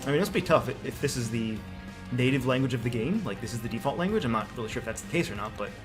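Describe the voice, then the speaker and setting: Casting: male gaming sidekick, brisk talker of medium pitch, casual and curious. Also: animated. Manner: inquisitive, reacting. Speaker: gaming co-commentator. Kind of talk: casual gameplay chat. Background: game music.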